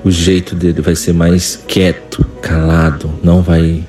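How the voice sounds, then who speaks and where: A man speaking as an interview guest, relaxed and explanatory, with frequent hesitations. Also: low voice, measured pace, soft background music. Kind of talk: conversational interview.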